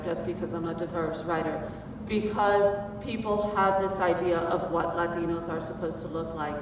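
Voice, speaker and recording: A woman writer from Puerto Rico speaking thoughtfully on a panel, with many pauses and fillers. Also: lowish voice, far from the mic. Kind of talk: panel discussion remarks. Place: conference hall. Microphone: far from the mic. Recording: echoey hall recorded from afar, noisy.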